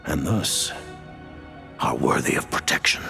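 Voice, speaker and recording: A low, gravelly voice for a cold and formal leader. He speaks in a measured, grave way, with his pitch rising and falling widely. Quiet music plays under his voice, as in a game cutscene. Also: sing-song, heavily acted. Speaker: Fatui leader. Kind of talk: video game voice acting.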